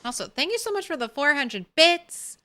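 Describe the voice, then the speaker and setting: Sex: woman. Role: streamer. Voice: high, bright, smooth, soft-spoken, slow. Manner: soft, relaxed, thanks viewers. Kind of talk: casual live-stream chat while gaming.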